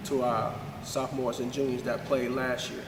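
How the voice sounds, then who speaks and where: A man who coaches high school basketball answers questions in a plain, repetitive way. He stands some distance from the mic in a room with long reverb. A clear background hiss runs under his voice. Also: flat delivery, normal speed. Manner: plainspoken, repeats himself for emphasis. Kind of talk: casual interview. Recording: echoey room, far from the mic, noisy.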